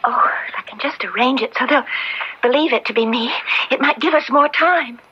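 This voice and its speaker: High-pitched frightened young condemned maid. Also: female voice, lively intonation, measured pace, pushed and bright, full character voice. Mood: hushed, trusting. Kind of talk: old-time radio drama acting. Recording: dull, noisy old radio drama recording.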